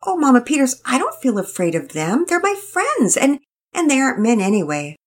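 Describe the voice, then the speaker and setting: A timid little girl, worn out and eager to please, as a woman reads her lines. She stammers and trips over her words in a high, breathy voice, and her pitch leaps up well above a grown woman's. The voice sounds husky. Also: huge pitch swings, normal speed, exaggerated voice. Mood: flustered. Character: timid, people-pleasing young girl, exhausted. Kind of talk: storybook reading.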